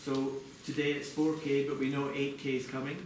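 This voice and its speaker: A man presenting product design live to a group, explaining in a halting way with corporate phrasing. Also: medium pitch, monotone. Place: large echoey indoor venue, apparently a hotel. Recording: echoey noisy room, distant mic.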